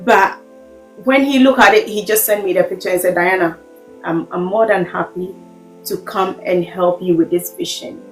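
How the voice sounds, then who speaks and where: A woman hosting an interview, speaking effusively and filling pauses with "you know". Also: lowish voice, roomy sound, soft background music, low-quality recording. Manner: effusive, warm praise, fillers like "you know". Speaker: host. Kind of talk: podcast interview host.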